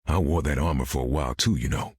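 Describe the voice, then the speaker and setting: An actor playing a gruff, wry half-vampire swordsman in a video game, with a gravelly, terse delivery. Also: fast talker, dry recording, studio quality. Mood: dry, tough. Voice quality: gravelly, very deep.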